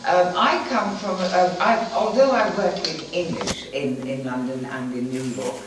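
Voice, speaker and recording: A woman's voice: deep voice, measured pace. An older woman from Wales, a native Welsh speaker, giving a gracious speech to an audience in a small hall, recorded from a distant camera. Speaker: guest speaker. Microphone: far camera, no mic. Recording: echoey room from far off.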